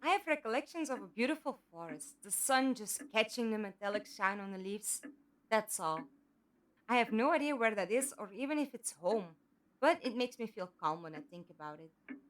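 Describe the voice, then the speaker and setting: An earnest, indignant captive heroine from an audio drama, played by a woman. Her voice sits high and moves with a wide, expressive melody. She speaks emphatically, as someone who cares deeply. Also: moderate pace. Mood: wistful. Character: Five, earnest indignant female abductee with amnesia.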